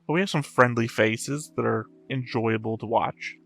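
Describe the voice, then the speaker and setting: A man with a medium-pitched voice comments casually as a reaction host. He muses and speculates off the cuff, with music faintly behind him. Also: normal speed, vocal fry.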